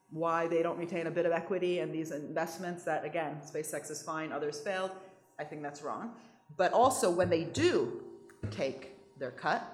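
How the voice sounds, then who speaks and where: A woman from Italy with an American accent, speaking fast and emphatically to an audience with restarts and quick asides. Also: on the low side, roomy sound. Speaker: economics speaker. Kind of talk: lively talk with audience questions.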